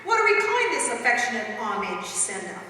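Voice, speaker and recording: A woman's voice: a little high, huge pitch swings, fairly quick. A woman playing an eager, naive announcer in a scripted comic radio double act, introducing the play. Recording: echoey hall, far from the mic.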